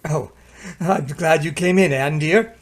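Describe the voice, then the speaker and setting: An actor playing an ailing but hearty and generous husband of fifty-five in an old-time radio drama. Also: male voice, fairly high pitch, measured pace, close to an everyday voice. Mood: genial.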